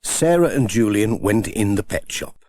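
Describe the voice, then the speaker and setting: A man's voice: on the low side, expressive, measured pace, darkish tone. A man narrating a children's story as an audiobook reader.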